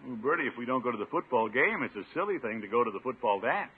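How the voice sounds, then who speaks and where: An actor playing a pompous, blustery, thrifty middle-aged uncle in a chuckling, theatrical 1950s radio sitcom style. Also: male voice, mid-range voice, lively intonation, fast, slightly rough. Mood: flustered, defensive. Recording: noisy, phone-like old radio recording.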